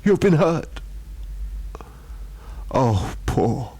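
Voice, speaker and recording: A tender, anguished temple priest from an old horror tale, played by a male storyteller. His lines come out halting and lamenting, in a raised, dramatic voice. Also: medium pitch, very animated, vocal fry, warm tone, breathy edge, voice pushed up, heavily acted. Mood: horrified, remorseful.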